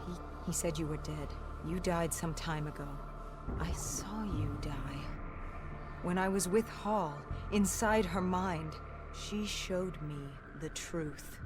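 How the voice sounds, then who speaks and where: A woman playing a haunted, weary soldier gravely recounting a zombie apocalypse in a video game. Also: fairly low pitch, slow pace. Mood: accusing, shaken. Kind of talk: dramatic first-person story narration. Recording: music behind the voice.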